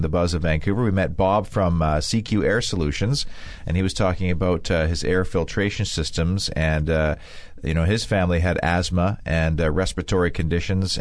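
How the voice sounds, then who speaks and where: A man's voice: low-pitched, no room sound. A man hosting a radio health show, recapping guests and reading plugs with many fillers. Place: radio studio.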